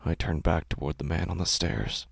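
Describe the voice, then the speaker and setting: A man narrating a scripted horror story in a hushed, slow delivery that often drops to a whisper, recorded on a close studio mic. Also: rumbling low, expressive, gravelly, slightly rough, dry recording. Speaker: narrator and host.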